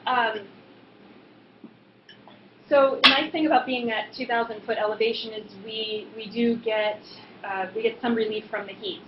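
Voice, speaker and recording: American woman presenting in an echoey room. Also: mid-range voice. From Boston suburbs, US East Coast. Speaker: winemaker presenting at a tasting. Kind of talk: informal explanatory presentation. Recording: echoey room, muffled, noisy background.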